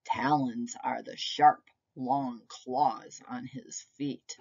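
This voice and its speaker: A woman reading a picture book aloud to young children like a preschool teacher, with deliberate, expressive storytelling phrasing. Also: rumbling low, breathy edge, dull audio.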